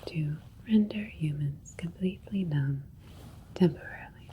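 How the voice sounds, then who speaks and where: A woman playing a gentle, soothing alien doctor in an ASMR roleplay, speaking in a breathy whisper with a clinical manner. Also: low-pitched, measured pace, slight background noise.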